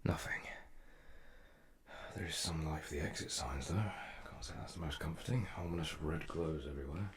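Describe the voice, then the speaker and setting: A man's voice: rumbling low, normal speed. A man playing a curious, self-questioning time traveller in an audio drama, musing in a wry, hesitant way. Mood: curious, wary. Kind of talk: audio drama monologue and dialogue. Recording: music under the voice.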